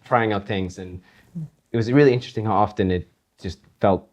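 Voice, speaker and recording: A laid-back man who grew up in Iceland talks through his creative process in a casual interview. His voice sits on the low side, and he rambles loosely with fillers and easy laughter. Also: a little fast. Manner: relaxed, rambling, laughs often, many fillers. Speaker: guitarist and composer guest.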